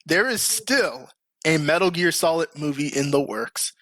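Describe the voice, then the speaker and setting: A man with a fairly high voice hosts a casual podcast. He talks informally with plenty of slang, and the sound is dry and close. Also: lively intonation, a little slow, a bit bright, dry recording. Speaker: podcast host. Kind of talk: casual group chat about games and movies.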